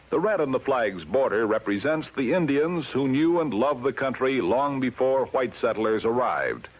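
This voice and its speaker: A man's voice: medium pitch, breathy edge. A man with an American accent reading scripted announcements in a vintage 1950s radio style. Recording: dull vintage radio recording.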